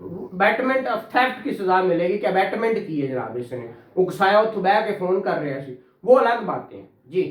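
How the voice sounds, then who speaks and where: A man's voice: sits high, big pitch swings, unhurried, few pauses. A man from Pakistan with a Punjabi accent teaches a law class, talking conversationally and putting questions to his students. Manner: conversational, questioning, acts out courtroom exchanges. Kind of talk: interactive classroom lecture. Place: indoor classroom. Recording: echoey room, distant mic.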